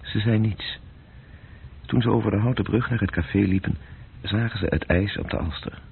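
A man narrating a literary radio drama in a measured, descriptive way, with a deep, gravelly voice. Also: steady tone, slight background noise. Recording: muffled narrow-band radio play recording.

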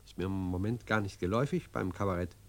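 A man's voice: low-pitched, quick pace. A male actor playing a pompous, patronising cabaret impresario in a German radio drama, with theatrical, condescending delivery.

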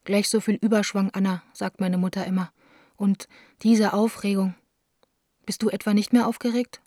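Radio play: actress as young woman in love, impulsive yet hurt, plaintive, soft dark tone, dry and close. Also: medium pitch, level tone, moderate pace, dry recording, lightly acted. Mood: hurt, longing. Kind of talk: radio drama letter reading.

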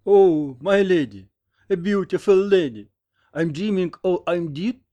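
A man voicing a dazed, amorous wounded American in an audiobook, hoarse, halting and rapturous, with a foreign accent. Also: high voice, warm tone, lo-fi sound, voice pushed up. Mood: dazed, enraptured. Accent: foreign-accented Russian. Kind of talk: audiobook character reading.

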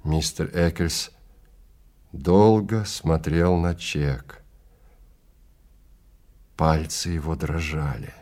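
Male narrator, deep register, theatrical audio-drama delivery, measured and weighty. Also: steady tone, a little mellow. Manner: theatrical, deliberate, with weighty pauses. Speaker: narrator and reader of a dramatized story. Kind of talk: dramatic scripted narration of science fiction.

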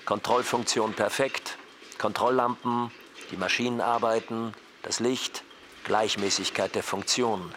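An actor playing a weary, watchful hospital night-shift worker in a radio play, speaking in clipped, report-like fragments. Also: male voice, fairly low pitch, steady tone, unhurried, noisy background, close to an everyday voice.

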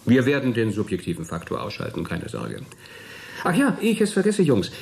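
An actor playing a jovial, smug military colonel in a radio play, patronising and soothing in officialese. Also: male voice, mid-range voice, huge pitch swings, fairly quick, vocal fry, a little hiss or hum. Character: jovial, smug military colonel addressing his retired astronauts. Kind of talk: German radio play acting.

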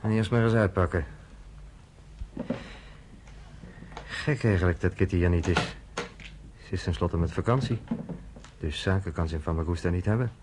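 An actor playing a sardonic, self-assured journalist in a Dutch radio drama, with a dry, conversational, probing manner. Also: male voice, sits low, fairly quick, background noise, close to an everyday voice. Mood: musing.

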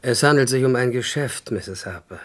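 An actor playing a smarmy, coolly threatening villain in a 1960s German radio crime drama, speaking formally. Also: male voice, medium pitch, normal speed, natural voice. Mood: cool menace. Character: smarmy, threatening male villain blackmailing a woman.

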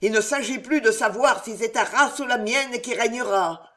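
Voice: big pitch swings, a little slow, a bit bright. A reader voicing a furious, icy queen. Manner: raises pitch and volume, snapping and roaring in anger. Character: imperious, icy, vengeful queen. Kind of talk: audiobook character reading.

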